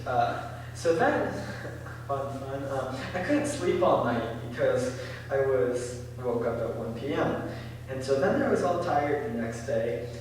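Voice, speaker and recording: A teenage boy giving an informal talk to an audience, halting and full of ums and likes. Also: mid-range voice. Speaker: presenter. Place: senior center hall. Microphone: distant room mic. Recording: echoey hall from far off, faint hiss.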